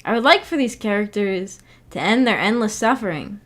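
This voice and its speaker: A woman's voice: medium pitch, animated, normal speed, a bit bright. A woman hosting a livestream in a casual, rambling way, hesitant as she thinks aloud. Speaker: livestream host.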